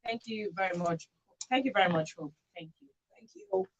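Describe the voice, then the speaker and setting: A woman's voice: mid-range voice, very animated, a little fast. A Nigerian woman hosting a live radio call-in show from a studio.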